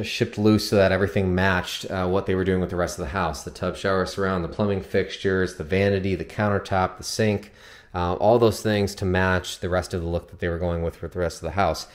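A man with a fairly low voice narrates a house tour off the cuff. He explains features informally, like a builder's representative showing a client around. His voice carries long reverb from the empty interior. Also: fairly even intonation, a little fast. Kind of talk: casual unscripted walkthrough narration. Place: empty new house, indoors.

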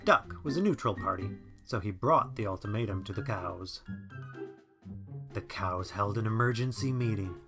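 Very deep-voiced woman reading a storybook. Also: moderate pace, music in the background. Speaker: storybook narrator. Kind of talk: scripted children's story read-aloud.

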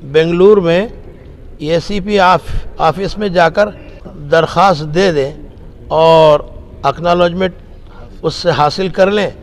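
A man, a cleric giving a formal statement in measured, halting phrases. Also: fairly high pitch, fairly even intonation. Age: 60s. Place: indoor meeting room with others seated. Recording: faint room noise behind the voice.

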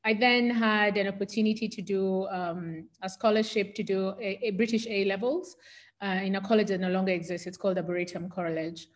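A middle-aged woman from Kenya speaks with a Kenyan accent as an expert guest. She has an articulate, explanatory manner, conversational and at a medium pitch. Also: steady tone, brisk. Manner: articulate, explanatory, defines medical terms. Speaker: neurosurgeon interview guest.

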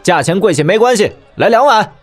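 A man playing a young, easygoing wandering gentleman swordsman in an audio drama. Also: high-pitched, lively intonation, brisk, soft background music. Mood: affable. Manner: casual, friendly.

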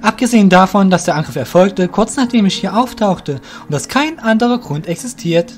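A man's voice: sits high, animated, moderate pace, soft background music, voice pushed up. A man voicing an anxious, defensive young thief in an earnest, emphatic way for an audio drama. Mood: agitated. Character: anxious, defensive young male shadow-guild thief, indignant.

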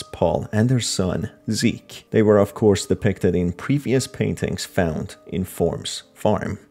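A man's voice: sits low, dark tone. A man narrating a scripted crime horror story recap.

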